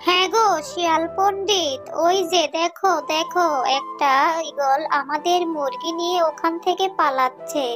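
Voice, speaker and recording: A woman's voice: very high-pitched, fairly even intonation, normal speed, over music. A woman voicing a house-proud, scolding fox wife in a cartoon fable, with an emphatic delivery. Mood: alarmed. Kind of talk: cartoon character acting.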